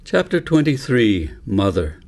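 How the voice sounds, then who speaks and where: A man narrating a children's audiobook in a measured, deliberate storytelling manner. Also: mid-range voice, big pitch swings, darkish tone. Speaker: author reading own book aloud.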